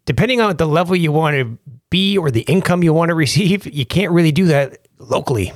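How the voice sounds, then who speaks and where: A man's voice: on the high side, animated, a little fast, no room sound, clean studio sound. A Canadian man in his thirties, a comedian and former country singer, chatting loosely and joking in an interview. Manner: jokey, rambling, laughs often. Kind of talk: casual interview chat.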